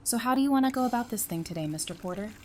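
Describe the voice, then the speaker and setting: A woman's voice: a little high, lively intonation, rapid-fire, a little mellow, slight background noise, lightly acted. A woman playing an affectionate, playful newlywed in an audio drama, speaking in a casual, teasing way. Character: affectionate, playful newlywed bride, practical and bright-minded.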